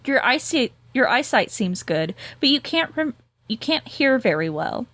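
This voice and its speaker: A woman's voice: a little high, sing-song, unhurried, fairly bright tone, dry recording. Dry, giggly woman podcast host. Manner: laughs often, swears freely. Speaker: podcast co-host reading a gamebook aloud. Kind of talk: casual chat and reading aloud.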